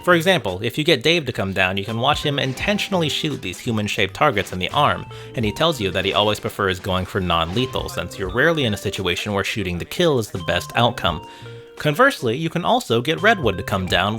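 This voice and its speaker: A man's voice: on the low side, pushed and bright. A man narrating a scripted video essay about games in a wry, conversational way, with asides to the viewer. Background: music.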